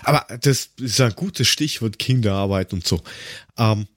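A middle-aged man chatting casually on a humorous podcast in a halting, wry storytelling manner. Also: fairly low pitch, dry recording, studio quality. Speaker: podcast host.

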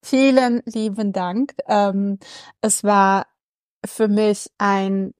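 A woman from Berlin, a politician guesting on a podcast, speaking casually and warmly with many fillers. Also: mid-range voice, fairly even intonation, very slow, no room sound, clean studio sound. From Berlin, Germany. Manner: friendly, many fillers and hesitations. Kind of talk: casual conversation.

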